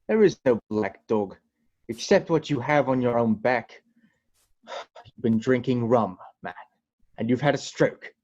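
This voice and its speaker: A man's voice: mid-range voice, lively intonation, a little slow, pauses often, darkish tone. A man voicing a brusque country doctor who lectures firmly and scolds. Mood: stern. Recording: dull lo-fi sound.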